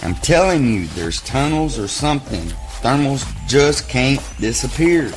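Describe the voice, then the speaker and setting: An actor playing an alert, doubtful soldier in an audio drama, insistent and questioning. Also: male voice, mid-range voice, lively intonation, measured pace, natural voice. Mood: skeptical. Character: doubtful, alert male soldier on a search team. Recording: loud dramatic music underneath.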